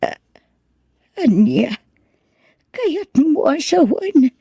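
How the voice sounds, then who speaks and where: A woman playing a gentle, sorrowful old mother who speaks tenderly and pleadingly in an audio drama. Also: high-pitched, very animated, drawn-out words, gravelly, no echo, studio quality, exaggerated voice. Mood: tender, concerned. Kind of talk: amateur audio drama acting.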